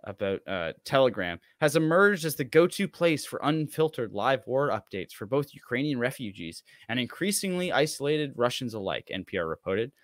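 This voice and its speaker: A man's voice: mid-range voice, sing-song, moderate pace, few pauses, no echo. A man co-hosting a talk show on a video call, reading an article aloud and commenting with sarcastic asides.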